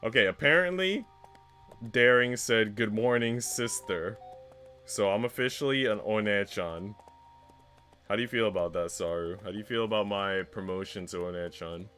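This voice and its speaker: A man's voice: lowish voice, normal speed, sharp tone, faint music behind. A man livestreaming in casual, conversational chat with his viewers. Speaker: livestreamer reading visual novels.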